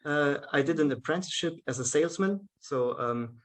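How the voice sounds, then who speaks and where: A man's voice: a little high, animated, normal speed. A German man with a German accent, a guest speaking English as a second language in a casual video-call interview, hesitant, with frequent fillers and restarts. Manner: hesitant, many fillers and restarts, laughs often. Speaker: guest, event organizer.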